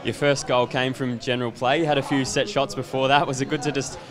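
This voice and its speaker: A man interviewing a footballer after a match, asking brisk questions into a handheld mic. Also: medium pitch, level tone, a crowd in the background. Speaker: club interviewer. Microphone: handheld microphone.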